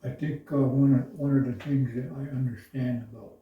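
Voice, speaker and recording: A man from the Navajo Nation speaking slowly and thoughtfully in a reflective discussion, pausing often with uhs. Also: mid-range voice, fairly even intonation, narrow, tinny audio. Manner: slow, thoughtful, halting with many uhs. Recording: echoey room, voice from far off.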